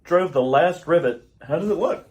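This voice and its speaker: A somewhat high-pitched male scientist of a doomed world , acted by a man in retro radio-theater style. He is earnest and grave, declaiming with urgency as a devoted husband and father. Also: animated, moderate pace, darkish tone, close to an everyday voice. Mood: tender, earnest.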